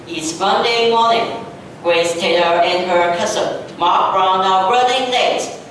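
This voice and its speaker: A woman's voice: medium pitch, slow, fairly bright tone. A young woman reading out scripted narration for a staged readers' theatre story. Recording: very echoey hall, distant mic, noisy.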